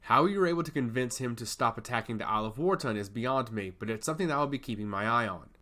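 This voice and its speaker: A stern god of intellect, as a male narrator plays him, lays down the law with sweeping, animated pitch. His delivery is solemn and emphatic, with a sly edge, in the manner of a fantasy audiobook. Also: fast. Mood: stern, warning. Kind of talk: fantasy audiobook character acting.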